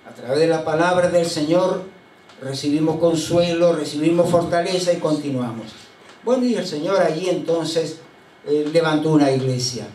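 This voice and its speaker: Older pastor with a high voice, storytelling from the pulpit with faint noise behind him. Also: male voice. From Chile. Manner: unhurried anecdotal storytelling with frequent hesitations. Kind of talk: sermon. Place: church sanctuary. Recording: roomy church sound from a distant mic.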